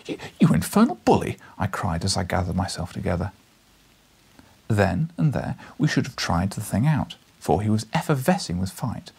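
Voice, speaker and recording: Gravelly, low-voiced British man reading expressively. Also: animated, moderate pace, a little mellow. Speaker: audiobook reader. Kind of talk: expressive story narration and casual address. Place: small book-lined living room, indoors.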